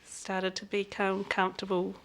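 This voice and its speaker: A woman's voice: mid-range voice, completely flat delivery, normal speed. Candid woman with a New Zealand accent. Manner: candid and reflective, laughs readily. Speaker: interview guest. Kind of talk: frank personal conversation.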